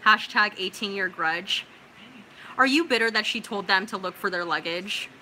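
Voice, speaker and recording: A young American woman from California hosting a casual livestream, reading out viewer comments and reacting in an informal, expressive, slangy way. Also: medium pitch, moderate pace, a little hiss or hum, rough recording. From California, United States. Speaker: livestream host.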